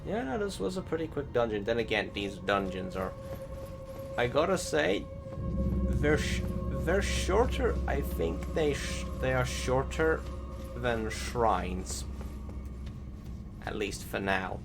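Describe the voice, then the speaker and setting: A man doing a casual gameplay commentary in a halting, self-correcting and sometimes sweary way. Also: medium pitch, big pitch swings, vocal fry. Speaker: video game let's play host. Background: loud game music.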